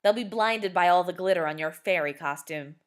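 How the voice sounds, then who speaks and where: Woman doing an excited, proud boy. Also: fairly low pitch, normal speed, clear, resonant tone, lightly acted. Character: proud quirky boy in a pumpkin costume.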